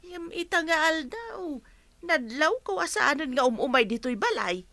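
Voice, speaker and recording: A woman's voice: high-pitched, big pitch swings, normal speed, bright, forceful tone, no room sound, heavily acted. An actress in a Filipino radio drama playing an inquisitive mother fussing over her grown daughter. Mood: fussing, questioning.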